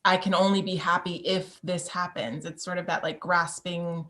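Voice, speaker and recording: A woman's voice: lowish voice, level tone, moderate pace, fairly bright tone. A woman, speaking as a podcast guest in a candid, reflective conversation and laughing easily. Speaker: podcast guest, Broadway performer. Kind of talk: casual conversation.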